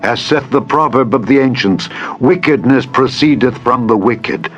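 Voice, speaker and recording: A man's voice: medium pitch, normal speed, natural voice. An actor playing an earnest young warrior pleading his innocence in a declamatory scripture drama. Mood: solemn appeal. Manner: declamatory, emphatic, solemn archaic phrasing. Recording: dull lo-fi audio with music.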